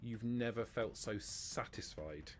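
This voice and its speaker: A man's voice: on the low side, measured pace. Man game master over background noise. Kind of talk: running a tabletop roleplaying game, explaining rules and narrating.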